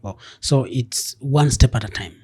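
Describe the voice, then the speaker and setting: A man's voice: mid-range voice, unhurried, darkish tone, slightly rough. A man hosting a casual podcast interview, asking leading questions and encouraging his guest with frequent "mm-hmm" and "yeah". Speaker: podcast host.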